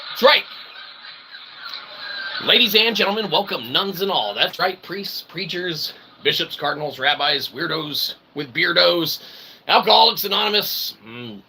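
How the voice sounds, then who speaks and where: A man with a fairly high voice and a heavy crackle of fry plays the showman. He delivers a rambling comic monologue in wordy, roundabout phrasing, leaning on catchphrases. Noise is clearly audible in the recording. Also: lively intonation, measured pace, pushed and bright. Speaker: comedy show host.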